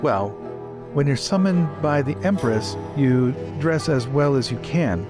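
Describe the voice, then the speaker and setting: Persuasive, rhetorical marine loyal to friends, tabletop game character, male player, medium pitch, music bed. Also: lively intonation, unhurried, lightly acted. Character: smooth-talking, proud marine defending a close friend.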